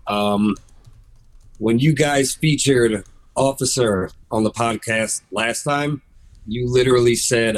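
A man who plays bass in a band, talking earnestly in a casual interview.

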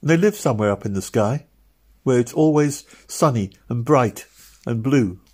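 A man voicing an earnest little girl who soothes her younger brother in a soft, hesitant way. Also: medium pitch, lively intonation, normal speed, slightly rough, pitched above the performer's own voice. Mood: earnest, gentle. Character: earnest little girl, protective older sister.

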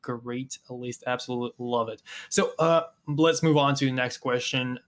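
A man hosts an interview in a flat, even voice. He is losing his voice and coughs now and then. His friendly questions come in quick bursts. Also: mid-range voice. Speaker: interview host. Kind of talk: casual interview.

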